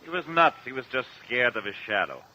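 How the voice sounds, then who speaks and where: An actor playing a defiant, slippery gangster who answers curtly and cockily in a radio courtroom drama. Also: male voice, medium pitch, big pitch swings, brisk, bright, forceful tone. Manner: cocky, curt. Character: defiant, slippery male gangster posing as businessman. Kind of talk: old-time radio courtroom drama acting. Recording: dull, noisy old radio recording.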